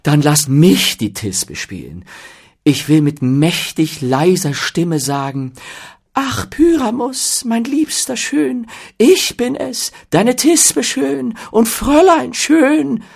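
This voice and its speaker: A man voicing a boisterous, eager and vain weaver in an excitable, bombastic storybook manner. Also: very high-pitched, slow pace, creaky, slightly rough, pitched above the performer's own voice, exaggerated voice. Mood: affected, swooning.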